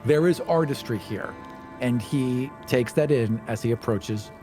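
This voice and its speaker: A man playing a tabletop game, commenting for his character in a measured, slightly wry tone. His pitch sits in the middle range. Faint music runs in the background. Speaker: player.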